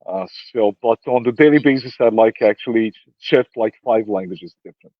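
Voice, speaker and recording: A man whose first languages are Serbian and Romanian, answering interview questions in a halting way with many fillers and restarts. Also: fairly low pitch, dry recording, highs cut off. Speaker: interview guest.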